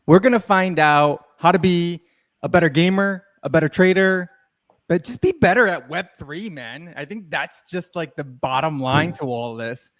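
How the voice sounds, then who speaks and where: High-voiced laid-back host from Florida. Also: male voice, normal speed, fairly bright tone. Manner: laid-back, slangy, hyped. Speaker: podcast host. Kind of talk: casual podcast interview.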